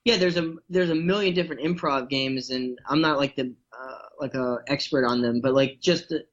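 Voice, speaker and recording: A man's voice: medium pitch, moderate pace, a bit bright, dull audio. A man from North America, a guest comedian, chatting casually and rambling with many fillers. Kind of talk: casual podcast chat.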